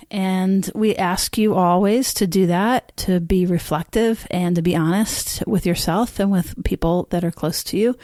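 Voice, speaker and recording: A woman's voice: mid-range voice, dark tone, no echo, studio quality. A woman co-hosting a therapy podcast, talking in a reflective, sincere way. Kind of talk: casual conversational podcast chat.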